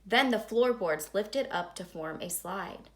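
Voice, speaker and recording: A woman reading a children's storybook aloud in an expressive, dramatic, suspenseful way. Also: medium pitch, a little slow. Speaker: storybook reader.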